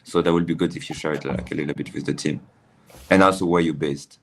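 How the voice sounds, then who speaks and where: A man's voice: a bass voice, steady tone, quick pace, a little hiss or hum. A man hosting a casual interview over a video call, repeating phrases with loose grammar. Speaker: host.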